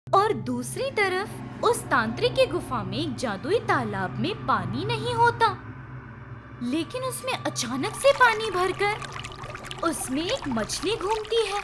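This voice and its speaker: Very high-pitched woman storyteller. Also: big pitch swings, takes it slowly. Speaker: story narrator. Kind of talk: scripted children's story narration. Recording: music behind the voice.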